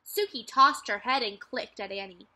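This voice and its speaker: A young adult woman reads a children's story aloud as a teacher, with expressive, clearly phrased narration. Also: on the high side, moderate pace. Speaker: teacher reading aloud to second graders. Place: furnished room at home, indoors.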